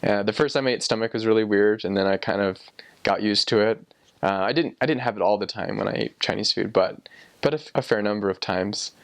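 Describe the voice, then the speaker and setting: Young man, fairly low voice, casual storytelling, informal, rambling, thinking aloud. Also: fairly quick. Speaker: former missionary sharing experiences.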